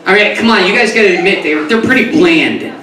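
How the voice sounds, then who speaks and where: High-voiced rambling New York man onstage. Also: fast talker. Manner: rambling, self-interrupting, loud comic outbursts. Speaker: stand-up comedian. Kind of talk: stand-up comedy set. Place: comedy club stage before a live audience. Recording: echoey club recorded from a distance, crowd audible.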